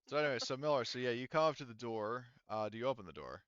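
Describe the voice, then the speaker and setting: Male game master, clear lower voice, improvised halting narration, close dry sound with slightly muffled top end. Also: expressive, fairly bright tone, no room sound.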